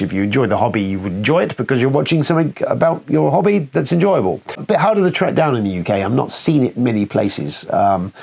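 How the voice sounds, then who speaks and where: Male, British accent, animated intonation, gravelly edge, casual rambling host commentary, self-deprecating, sweary. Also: on the low side. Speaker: board game review show host.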